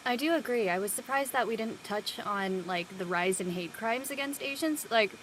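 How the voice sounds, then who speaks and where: An expressive woman chatting casually in a group discussion. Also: on the high side, normal speed, low-quality recording. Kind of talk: casual chat in a panel discussion. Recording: background noise and room ambience.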